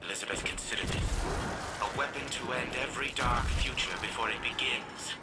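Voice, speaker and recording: A man's voice: mid-range voice, brisk, loud music behind the voice, natural voice. A man playing an imperious older scientist in a video game, speaking in a formal, lecturing way. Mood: grandiose, persuasive. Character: imperious, dismissive elderly scientist grandfather, proud and calculating. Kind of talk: video game dramatic acting.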